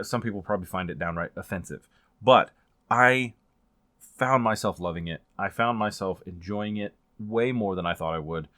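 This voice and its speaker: A man with a fairly low voice chats informally as a book reviewer. He rambles along conversationally and grows animated when he stresses a point. The sound is very dry, with hardly any room in it. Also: no echo. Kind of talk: casual chatty book vlog.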